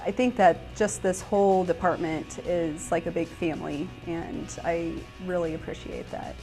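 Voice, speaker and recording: A woman's voice: lowish voice, steady tone, moderate pace, low-quality recording. A woman working as a police dispatcher, speaking sincerely in a documentary interview. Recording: music bed under the voice. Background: background music.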